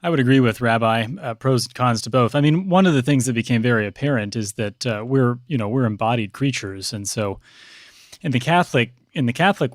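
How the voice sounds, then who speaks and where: A man speaking as a priest and interview guest, reflective, with measured, halting, thoughtful phrasing. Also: mid-range voice, dry recording, clean studio sound.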